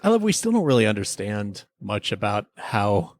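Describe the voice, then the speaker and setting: A man's voice: lowish voice, very animated, moderate pace. A man co-hosting a casual review chat, talking as an enthusiastic fan. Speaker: podcast co-host reviewing TV episodes.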